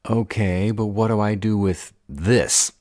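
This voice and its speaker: A man playing a gruff, hot-tempered were-dragon protective of his mate in an audio roleplay, gravelly, blunt and growling. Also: lowish voice, fairly even intonation, slow pace, a little mellow, no room sound, studio quality. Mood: exasperated. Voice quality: gravelly, raspy. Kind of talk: audio roleplay drama acting.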